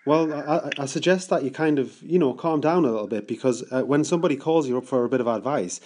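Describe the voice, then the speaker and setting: A man's voice: mid-range voice, quick pace, darkish tone, slight background noise. A British man making an improvised prank call, rambling and stammering with mock-earnest seriousness. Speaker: prank caller.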